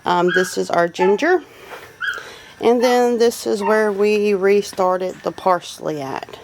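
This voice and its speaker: A woman's voice: mid-range voice, slow. A woman with a Southern US accent gives a casual, folksy, unscripted garden tour in a rambling, appreciative way. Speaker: homestead vlogger giving a garden tour. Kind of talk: casual unscripted walk-through narration. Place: outdoors in a homestead garden. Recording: noisy outdoor recording with animal sounds. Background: birds, roosters and farm animals.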